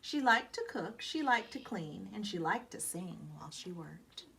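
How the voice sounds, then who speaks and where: A woman's voice: medium pitch, moderate pace, creaky. A woman reads a children's story aloud expressively, speaking to her young listeners like a teacher. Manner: expressive, explanatory, questioning the listeners. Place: outdoors. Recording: background noise from reading outdoors. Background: outdoor ambient noise.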